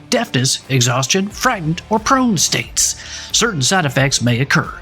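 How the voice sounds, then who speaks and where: A very high, bright and punchy commercial announcer. He reads parody radio ad copy in a slick, salesy, straight-faced way over a light music bed. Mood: brisk, straight-faced. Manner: salesy, crisp list reading, straight-faced. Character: slick, upbeat commercial announcer for fantasy monster spray. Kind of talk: parody radio advertisement, scripted.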